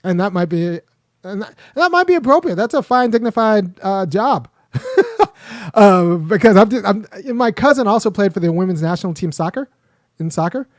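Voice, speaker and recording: Male host, casual rambling talker, slightly high voice, dry close recording. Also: lively intonation, normal speed, no echo. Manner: rambling, frequent restarts and fillers. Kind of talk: casual commentary monologue.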